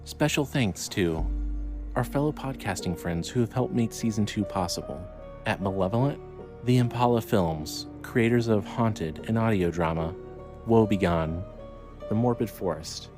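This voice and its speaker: A man's voice: on the low side, unhurried. Male story narrator over music. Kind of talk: scripted audio drama narration.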